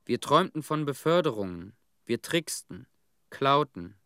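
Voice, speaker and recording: An actor playing a disillusioned cleanup worker in a radio play, confessing frankly in clipped phrases. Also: male voice, medium pitch, very animated, a little slow, fairly bright tone, dry recording, studio quality. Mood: frank, bitter.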